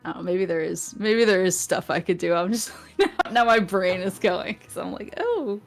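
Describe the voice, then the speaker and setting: A woman's voice: mid-range voice, lively intonation, soft background music. A woman guest on a chat show who talks casually, laughing often and restarting her sentences. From New England. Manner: giggly, halting, many restarts. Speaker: guest vtuber.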